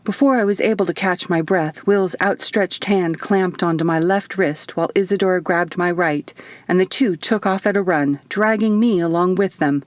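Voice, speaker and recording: Lower-pitched woman narrating an audiobook. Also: normal speed, no room sound. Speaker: author reading own audiobook. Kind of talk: first-person dramatic audiobook narration.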